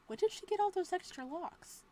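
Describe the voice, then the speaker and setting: A woman's voice: extremely high pitch, expressive, a little fast, warm tone, slight background noise. A woman reading a horror visual novel aloud, breaking off for playful, joking asides. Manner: playful asides between narration. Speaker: game streamer.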